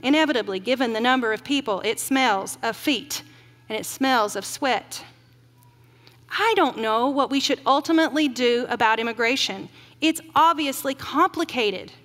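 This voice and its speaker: A middle-aged woman giving an earnest keynote sermon from a podium on a large auditorium stage, slowing down to stress grim facts. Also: on the high side, a bit bright. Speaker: keynote speaker. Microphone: podium mic. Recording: long hall reverb.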